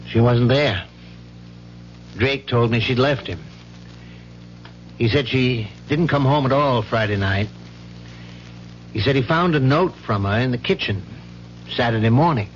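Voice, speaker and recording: An actor playing a proper, measured university professor in a 1970s radio mystery, speaking precisely and with care. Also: male voice, mid-range voice, natural voice. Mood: matter-of-fact. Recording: muffled, noisy 1970s radio recording with hum.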